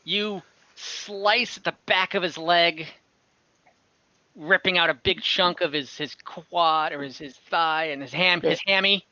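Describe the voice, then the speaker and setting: Bright-voiced man running a tabletop game. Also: a little high, no echo, lo-fi sound. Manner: quick, casual, counting squares aloud. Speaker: dungeon master.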